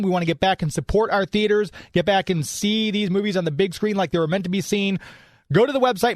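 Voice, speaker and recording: Male radio host, upbeat and chatty, slightly high register, close dry sound. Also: dry recording. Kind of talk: interviewing and reading sponsor spots.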